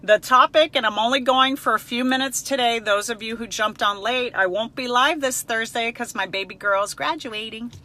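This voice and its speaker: A woman's voice: fairly high pitch, normal speed, hardly any pauses, pushed and bright. A middle-aged woman, a native Spanish speaker, chatting casually and frankly as a counselor answering viewers on a live stream. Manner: chatty, personable, emphatic, frank.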